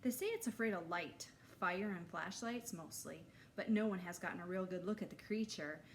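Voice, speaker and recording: A woman voicing a bald older man telling spooky, suspenseful camp tales with drawn-out drama in a children's storybook. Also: lowish voice, expressive, a little hiss or hum, poor audio. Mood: ominous. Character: bald older male camp storyteller, ominous yet cheery.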